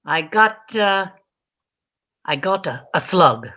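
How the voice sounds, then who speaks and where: Woman playing a bright-voiced, hesitant shopkeeper, muffled recording. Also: lowish voice, expressive, slow, heavily acted. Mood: sheepish, hesitant. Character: shifty, reluctant pet shop keeper dreaming of lumberjacking. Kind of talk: British radio comedy sketch acting. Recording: dull lo-fi recording.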